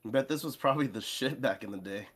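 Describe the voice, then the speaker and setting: A man's voice: mid-range voice, fast. A man co-hosting a game stream, reading character dialogue and chatting in a casual style. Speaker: co-host reading character lines and commenting.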